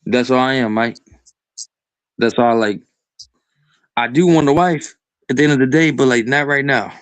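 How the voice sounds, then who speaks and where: A young man in his early twenties talks loosely and crudely, circling his point with "like" and "bro" at every turn. His voice sits at a mid pitch for a man and comes across dry and close, with no room around it. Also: normal speed, dry recording. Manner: rambling, crude, says "bro" and "like" constantly. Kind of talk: casual profane chat.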